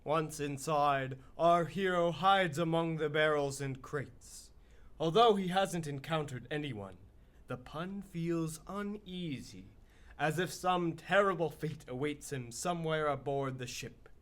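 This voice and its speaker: An actor as the dramatic, ominous storyteller of a hero's adventure, in scripted radio drama narration. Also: male voice, a little high, a little slow, a bit bright. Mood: suspenseful.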